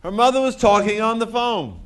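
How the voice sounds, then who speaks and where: A man narrating a children's picture book expressively and deliberately, with dramatic pauses. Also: high voice, lively intonation. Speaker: storybook reader.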